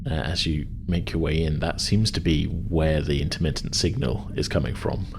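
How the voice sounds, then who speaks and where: Low-pitched man running a tabletop game. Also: moderate pace, creaky, background noise. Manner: hesitant, loose, informal phrasing. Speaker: game master. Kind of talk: improvised tabletop game narration.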